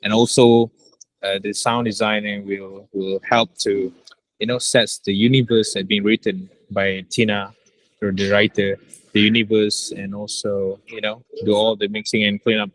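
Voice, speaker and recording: Man, slightly low voice, halting casual interview talk, repeated words, dry close sound. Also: little pitch movement, no room sound, rough recording. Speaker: director interviewed about an audio drama.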